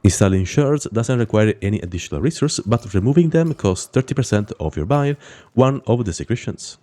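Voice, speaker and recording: A young man with a cold talks through a game guide in a low, mellow voice. He explains things steadily and methodically, and his sick voice sounds slightly off. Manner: steady explanatory, then loose chatty sign-off. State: has a cold, voice off. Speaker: gaming channel host. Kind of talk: scripted game guide narration.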